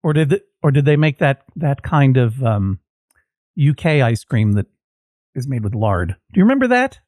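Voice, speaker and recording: A man's voice: medium pitch, big pitch swings, normal speed, darkish tone, dry recording, studio quality. A man hosting a podcast as a mock judge, questioning guests with wry jokes and rambling asides. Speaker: podcast host acting as judge. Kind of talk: playful comedic questioning.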